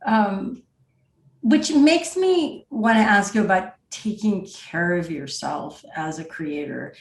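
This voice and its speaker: A woman's voice: mid-range voice, animated, slow, creaky. A woman hosting a casual, conversational interview as she questions her guest. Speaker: podcast host, interviewer. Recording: roomy, echoing voice track.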